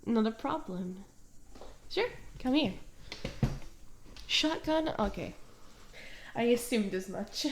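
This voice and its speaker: A woman playing a smitten, awkward tomboy stalker in an intimate one-sided ASMR roleplay, hesitant and soft-spoken, trailing off with small hums. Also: fairly high pitch, animated, slow, long pauses, a little hiss or hum. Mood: flirty, eager.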